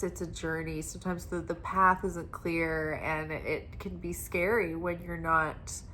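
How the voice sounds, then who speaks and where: Woman, tarot reader, congested nasal voice, slow unhurried pace, soft meandering spiritual talk, faint background noise. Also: sits low. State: congested from crying. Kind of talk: weekly tarot reading talk.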